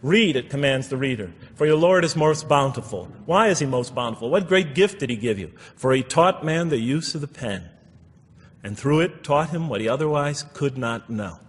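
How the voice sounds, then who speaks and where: Male religious lecturer, very animated intonation, emphatic repetition, explaining scripture, long hall reverb. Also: mid-range voice, moderate pace, slightly rough. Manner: emphatic, repeats phrases for stress.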